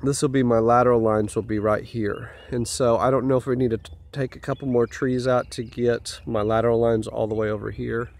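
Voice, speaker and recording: A man's voice: mid-range voice, flat delivery, fairly quick, creaky, few pauses, darkish tone. Man with a stuffy head cold. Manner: easygoing, rambling with fillers. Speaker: homeowner vlogger. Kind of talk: casual site walkthrough. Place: outdoors at a house build site.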